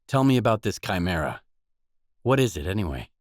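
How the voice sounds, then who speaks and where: A man's voice: on the low side, very animated, a little fast, dry recording, clean studio sound. An actor playing a wary, pragmatic male loner stalker in a video game, speaking in a terse, blunt, matter-of-fact way. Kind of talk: game dialogue voice lines.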